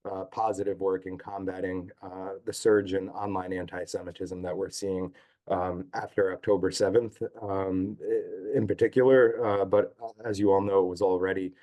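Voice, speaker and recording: A hoarse man giving a talk over a video call, halting with many fillers. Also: low-pitched, little pitch movement, mellow. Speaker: guest speaker, advocacy group staff chief. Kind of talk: online talk.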